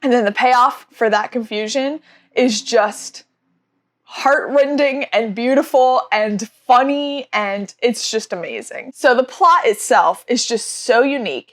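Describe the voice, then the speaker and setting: A woman's voice: on the high side, fairly bright tone. Gushing young woman with a stuffy nose. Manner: gushing, enthusiastic, frequent "like" fillers. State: stuffy from allergies. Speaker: book vlogger. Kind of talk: casual book review vlog.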